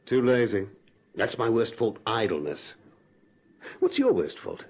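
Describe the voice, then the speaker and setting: An actor playing a direct, talkative, self-assured suitor in a radio drama, teasing and persistent. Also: male voice, fairly low pitch, animated, unhurried, slightly rough, full character voice. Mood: flirtatious, breezy. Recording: dull lo-fi old radio recording.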